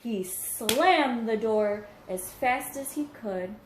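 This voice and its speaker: A woman's voice: a little high, expressive, takes it slowly, a little hiss or hum. A woman teacher reading a story aloud to young children, explaining things simply and checking in with questions. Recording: roomy, echoing home recording.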